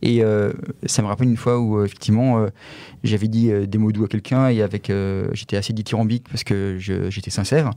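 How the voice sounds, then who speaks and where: A man's voice: lowish voice, fairly even intonation, fairly quick, mellow, no room sound. A man co-hosting a casual conversation, offering thoughts and putting questions. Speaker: podcast co-host.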